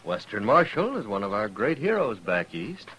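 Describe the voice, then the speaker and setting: An actor playing a fussy, self-important traveling photographer in a 1950s radio western, speaking in a formal, flustered way. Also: male voice, fairly low pitch, sing-song, normal speed, a bit bright, husky, exaggerated voice. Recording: noisy vintage 1950s radio recording.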